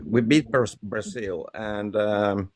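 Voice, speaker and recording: Halting Norwegian man, slow and deep. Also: background noise. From Norway. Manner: halting, many fillers and restarts. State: shaky with nerves. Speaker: caller. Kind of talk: nervous phone-in chat.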